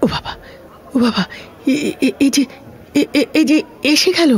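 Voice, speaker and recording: A woman's voice: sits high, takes it slowly. A woman playing a talkative, grumbling elderly widowed housemaid with a Kolkata Bengali accent in an audio drama, speaking in a colloquial, plaintive, scolding way. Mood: agitated.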